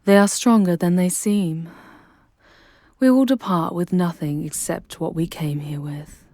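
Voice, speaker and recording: A woman playing a charming, protective female demon guide in an intimate ASMR roleplay, with soft breathy delivery and formal, measured diction. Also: low voice, slight background noise. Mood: firm, defiant. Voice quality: soft, breathy, often whispery.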